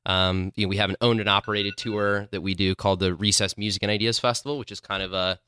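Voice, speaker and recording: A man's voice: lowish voice, steady tone, fast talker, pushed and bright, clean tone. A man in his thirties from Illinois, a company co-founder answering interview questions in a rambling way, full of fillers. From Illinois, USA.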